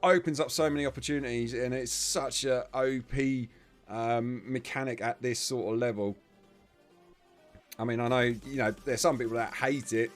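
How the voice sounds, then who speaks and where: A man giving chatty live play-by-play commentary on a match, his voice starting to go hoarse. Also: medium pitch, moderate pace, soft background music. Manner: chatty, self-deprecating asides, bursts of excitement on big plays. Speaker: esports commentator.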